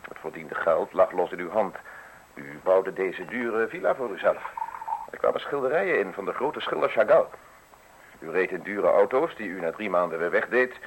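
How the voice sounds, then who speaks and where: Low-voiced, formal state official. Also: male voice, animated. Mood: measured, accusing. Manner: formal, measured, courteous, deliberate phrasing. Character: polite, insinuating male state official making an arrest. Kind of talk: radio play acting. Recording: hissy, noisy old radio recording.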